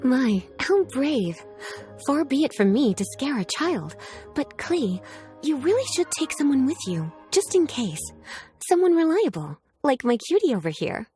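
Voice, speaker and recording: A woman's voice: high-pitched, very animated, measured pace, dark tone, soft background music. A woman voicing an elegant, teasing, doting librarian in a video game, with genteel and composed phrasing. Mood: playful, doting. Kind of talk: video game character acting.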